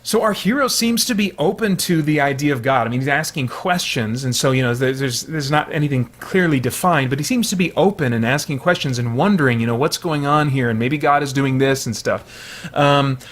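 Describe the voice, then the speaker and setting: A man's voice: mid-range voice, a little fast, fairly bright tone. A man hosting a video, giving analytical commentary in a conversational, explanatory way. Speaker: video host.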